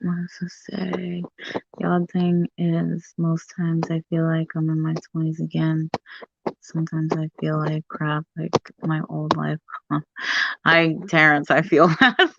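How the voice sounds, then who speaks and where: A woman's voice: low voice, flat delivery, slow, gravelly, a bit bright, no room sound, muffled recording. A woman in her forties co-hosting a stream in casual chat, candid and laughing often. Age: 40s. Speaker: stream co-host.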